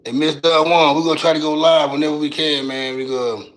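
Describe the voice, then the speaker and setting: A raspy-voiced man, hosting a casual livestream and talking loose and profane into a phone mic from his couch at home. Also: fairly high pitch, flat delivery, fast talker, fairly bright tone. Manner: loose, profane, drawling street talk. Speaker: livestream host.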